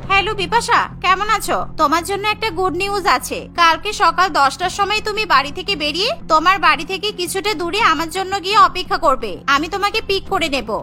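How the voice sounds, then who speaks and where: A woman voicing an upbeat, take-charge female friend in a cartoon, briskly giving instructions. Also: very high-pitched, bright, forceful tone. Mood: upbeat, instructing.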